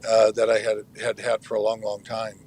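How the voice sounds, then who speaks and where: A man's voice: lowish voice, completely flat delivery, normal speed, darkish tone, a little hiss or hum. A man calling in by phone as a guest, talking hesitantly as he searches his memory. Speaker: guest caller. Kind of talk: casual chat.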